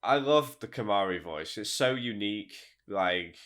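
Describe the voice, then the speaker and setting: A man's voice: lowish voice, expressive, a little slow, fairly bright tone. A man, a voice actor guest, chatting casually on a podcast, rambling with many fillers and frequent laughs.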